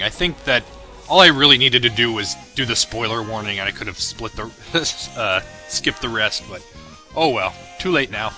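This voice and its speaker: A man's voice: medium pitch, bright, forceful tone. A man giving casual, unscripted creator commentary on his parody series in a rambling, self-deprecating, chuckling way. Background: music and audio of the video being discussed.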